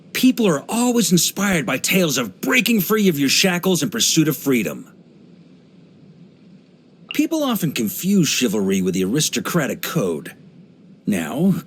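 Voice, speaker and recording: A hearty middle-aged knight commander for a game, wry and easygoing, pitch moves around a lot, bit of noise behind. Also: male voice. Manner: relaxed, wry, conversational, with chuckles and musing pauses. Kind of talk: video game character voice lines.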